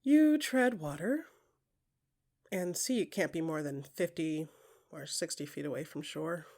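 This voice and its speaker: A woman narrating scripted second-person fiction in an intimate, dramatic way, with a breathy voice that often drops into whispers and deliberate short phrases. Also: deep voice, a little mellow. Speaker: podcast creator and narrator.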